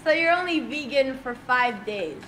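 A young woman from Canada co-hosting a streamed speed date, teasing with playful deadpan jokes. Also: high-pitched, normal speed, a little hiss or hum. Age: young adult. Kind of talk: casual teasing chat. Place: indoor room with plants.

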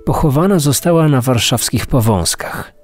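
A man's voice: mid-range voice, expressive, normal speed. A man hosting a true-crime podcast in scripted narration. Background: music under the voice.

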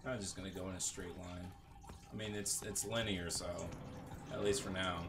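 A man's voice: sits low, little pitch movement, normal speed, noisy background. A man giving casual video game commentary, muttering offhand remarks as he plays. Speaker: gaming video host.

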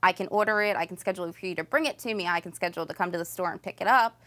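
A woman's voice: lowish voice, racing, fairly bright tone. An American woman in her thirties, a shop owner explaining her business in a conversational, plain-spoken interview style. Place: small home decor shop, indoors. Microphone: lapel microphone.

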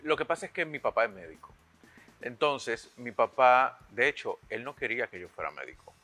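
Dominican man, bright forceful voice. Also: medium pitch, big pitch swings, normal speed, faint music behind. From Dominican Republic. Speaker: interview guest, actor. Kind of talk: conversational interview, telling his own story. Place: television studio.

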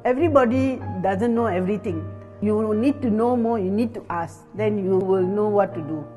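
A woman in her fifties talks plainly and frankly as a midwife at work. Clear music runs beneath her voice. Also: mid-range voice, normal speed, mellow. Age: 50s. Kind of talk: documentary interview.

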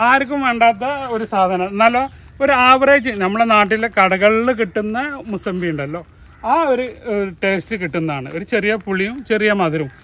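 A man from Kerala giving a casual tour of his plant nursery, talking in an animated, argumentative way and asking rhetorical questions. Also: high-pitched, normal speed. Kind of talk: casual garden tour talk.

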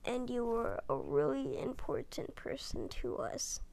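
A young girl talking in a hushed, often whispered voice late at night at home, with halting restarts. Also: fairly high pitch, sing-song, creaky. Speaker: video uploader. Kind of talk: casual talk over a screen recording. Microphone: tablet mic.

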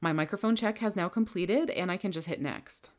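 A woman with a low-pitched voice guides the listener through a software setup one click at a time. Her delivery is clear and instructional, recorded close on a desk microphone. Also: a little fast, faint music behind. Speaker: software trainer. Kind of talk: screen-recorded software tutorial. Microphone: headset or bench microphone.

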